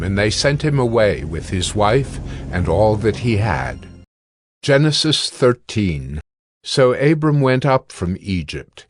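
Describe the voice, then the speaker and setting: A man narrating scripture from a script in a measured, formal reading. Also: medium pitch, dry recording.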